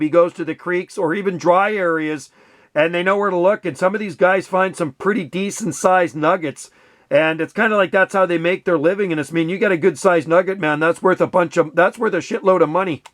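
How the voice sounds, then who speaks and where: A man's voice: high voice, steady tone, fairly quick, fairly bright tone, no echo. A man chatting casually and enthusiastically as an amateur radio operator. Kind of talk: casual chat over radio.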